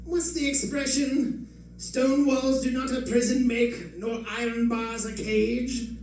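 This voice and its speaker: A man acts out a smooth, taunting crime boss from an old radio thriller. His voice is very high for a man and takes its time, full of polite mockery. It comes from a distant mic in a very echoey space. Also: level tone, takes it slowly, a little mellow, full character voice. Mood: coolly mocking. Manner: silky, taunting, mock-courteous, chuckling. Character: suave, mocking male escaped-convict villain with a gang. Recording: echoey live stage, distant mic, faint noise.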